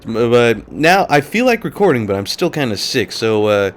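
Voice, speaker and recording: A man's voice: medium pitch, big pitch swings, normal speed, slight background noise. A man hosting a let's play, talking casually and rambling while sick and a little nasal. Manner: casual, rambling, laughs often. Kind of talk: gameplay commentary.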